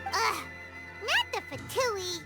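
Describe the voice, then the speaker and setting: A woman voicing a small, excitable, childlike fairy companion, chirpy and blunt, in a video game cutscene. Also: very high voice, takes it slowly, exaggerated voice. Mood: shocked. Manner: speaks of herself in the third person, expressive. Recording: loud music under the voice.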